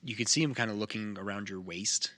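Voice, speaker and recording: A man's voice: on the low side, brisk, bright, forceful tone. A man narrating a tabletop game as game master, in casual, loosely improvised phrasing.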